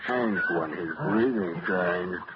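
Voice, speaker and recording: An actor playing a strange, intense young man in a 1940s-style radio drama, speaking in a slow, eerie, fervent way. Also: low voice, heavy background noise. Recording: dull old radio broadcast.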